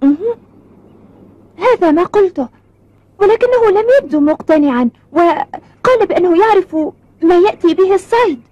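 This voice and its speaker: A woman voicing a curious, polite young boy in a cartoon, speaking formal Arabic earnestly and clearly. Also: extremely high pitch, lively intonation, moderate pace, long pauses, a little mellow, no room sound, highs cut off.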